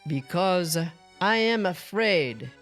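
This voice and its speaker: A woman's voice: fairly low pitch, expressive, slow pace, a bit bright, soft background music. Woman playing a grave, reluctant male performer. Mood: reluctant, afraid. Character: weary, fearful male master high-wire performer, reluctant and grave.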